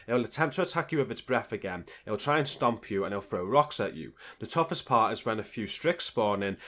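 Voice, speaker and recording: A man's voice: mid-range voice, fairly bright tone. A man giving scripted walkthrough commentary for a video game in a matter-of-fact, explanatory way. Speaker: game guide narrator.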